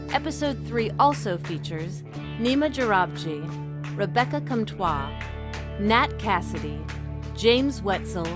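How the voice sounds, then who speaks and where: A woman announcer giving a measured, scripted read of drama credits. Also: medium pitch.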